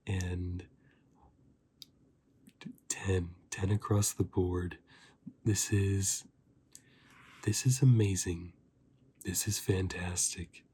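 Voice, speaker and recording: A man giving a softly spoken ASMR review as a host, breathy and often slipping into a whisper, chatting informally and rambling like a fan. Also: low-pitched, a little hiss or hum. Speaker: host of an anime review channel.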